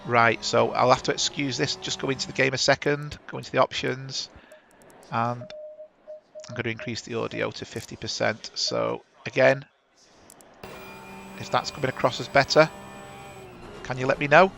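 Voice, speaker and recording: Chatty man, gravelly bright voice. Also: mid-range voice, steady tone, normal speed, pauses often, a little hiss or hum. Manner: chatty, rambling, self-correcting. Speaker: sim racing broadcast host. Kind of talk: live race commentary. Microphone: headset.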